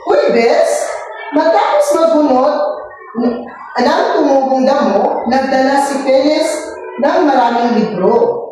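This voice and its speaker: Echoey, distant woman teacher, high voice. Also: slow pace, pauses often. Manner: expressive, didactic, prompting listeners. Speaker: elementary school teacher. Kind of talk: storybook read-aloud and questions to children. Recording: echoey room, distant mic, faint noise.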